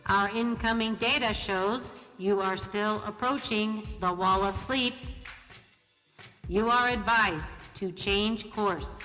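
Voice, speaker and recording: A woman voicing a polite, formal automated space traffic control announcer in a radio play, delivering measured courtesy warnings. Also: medium pitch, fairly even intonation, sharp tone. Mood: polite, impassive. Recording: long hall reverb, faint music.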